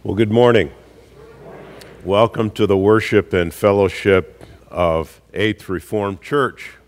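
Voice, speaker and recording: A male pastor with a hoarse, gruff voice from a cold, welcoming a congregation in a slow, folksy way with many pauses. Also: fairly low pitch, fairly even intonation. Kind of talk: church service welcome and announcements. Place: church sanctuary. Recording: live church hall with long reverb.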